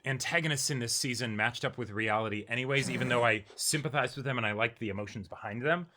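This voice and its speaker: A man's voice: mid-range voice, fast, bright, forceful tone, slight background noise. A man chatting casually as co-host of a reaction show, thinking aloud and repeating himself as he goes. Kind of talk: casual chat, anime discussion.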